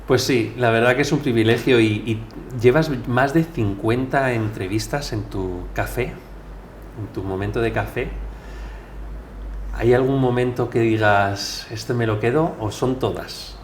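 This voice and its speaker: A man's voice: mid-range voice, unhurried, slight background noise. A man hosting a podcast, speaking in a conversational interview style as he introduces and questions his guest.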